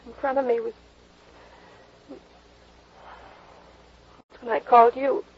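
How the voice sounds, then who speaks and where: A dazed, frightened suspect. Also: female voice, fairly high pitch, level tone, slight background noise. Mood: dazed, shaken. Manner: halting, hazy, trailing off. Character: dazed, frightened young woman suspected of murder. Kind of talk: 1950s radio drama acting. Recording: dull old radio broadcast recording.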